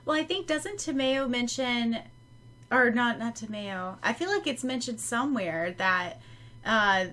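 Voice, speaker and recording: A woman chats on a casual podcast, her voice bright and sharp-edged. She rambles in a relaxed way, circling her points and laughing softly between thoughts. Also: a little high, unhurried. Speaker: podcast co-host and audiobook narrator. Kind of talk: casual chat about a fanfiction chapter.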